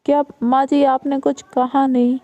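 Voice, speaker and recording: A woman's voice: fairly high pitch, takes it slowly, creaky, warm tone, no room sound. A woman voicing a sly elder daughter-in-law who taunts and gossips, in a raised put-on voice. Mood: mocking. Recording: dull lo-fi recording.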